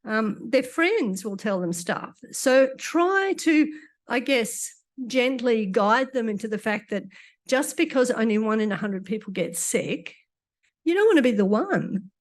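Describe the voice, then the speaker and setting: Croaky-voiced woman giving a lecture. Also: a little high, animated, measured pace. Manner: conversational, with dry asides. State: croaky after illness. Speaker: doctor giving a conference talk. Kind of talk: conference lecture.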